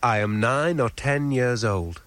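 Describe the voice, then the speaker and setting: An English man in his thirties from northern England narrates his own memoir in a measured, literary storytelling way. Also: medium pitch, a bit bright, dry recording. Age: 30s. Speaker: author narrating his autobiographical audio piece. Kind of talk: scripted autobiographical narration. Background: music.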